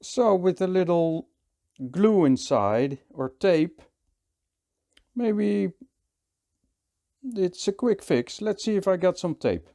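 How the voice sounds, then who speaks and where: Slow, calm Dutch-accented repair man. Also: high-pitched. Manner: calm, thinking aloud while working. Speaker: repair technician. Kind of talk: hands-on repair tutorial.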